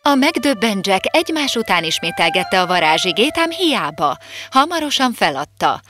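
A woman reading scripted narration for an animated fairy tale, with an expressive storytelling lilt. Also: mid-range voice, soft background music.

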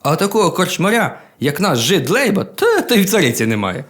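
A man telling a humorous folk tale in a colloquial, expressive, folksy manner. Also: high-pitched, brisk, noisy background. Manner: colloquial, expressive, with chuckles and exclamations. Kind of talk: folksy humorous oral storytelling. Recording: music behind the voice.

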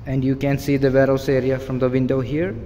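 A man's voice: medium pitch, monotone, quick pace, mellow, a little hiss or hum. Man giving a phone warehouse tour. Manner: plain, repetitive pointing-out. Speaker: real estate agent. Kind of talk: walkthrough property tour. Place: large empty indoor warehouse. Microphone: phone held by the filming person.

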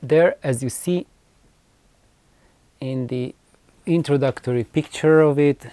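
Slow, mellow man explaining, haltingly. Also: on the high side, no echo. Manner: halting, hesitant phrasing with repetitions. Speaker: video host. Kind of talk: unscripted explanatory vlog to camera. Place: small cluttered room with shelves of records, indoors.

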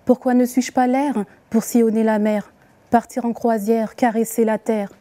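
A woman reciting poems as the poet herself, with deliberate, expressive phrasing and pauses. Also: a little high. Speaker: poet reading her own poems. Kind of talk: poetry recitation.

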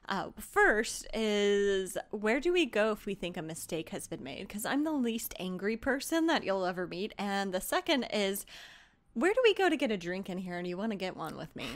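A woman playing a tabletop role-playing game, casually improvising her character's lines. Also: mid-range voice.

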